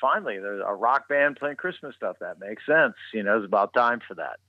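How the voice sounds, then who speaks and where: A man from Chicago, a musician guest on a phone call, talking in a relaxed, upbeat way. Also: lowish voice, fairly quick, no room sound. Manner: relaxed and upbeat, many fillers. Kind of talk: casual phone interview. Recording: tinny phone line.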